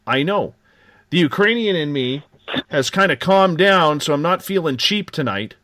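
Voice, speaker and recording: A man's voice: a little high, animated, moderate pace, a bit bright, dry recording. A man hosting a live late-night radio talk show, giving upbeat, joking promotional patter. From Canada.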